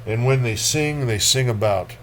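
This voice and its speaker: A man hosting a poetry reading, delivering verse in a dramatic, deliberate manner with casual commentary. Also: mid-range voice, fairly bright tone, a little hiss or hum, poor audio. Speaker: poetry reader and host.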